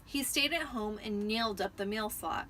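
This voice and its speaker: A woman's voice: mid-range voice, normal speed, bright, forceful tone, a little hiss or hum. A tipsy woman giving an amateur dramatic reading of a storybook. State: tipsy after drinking wine.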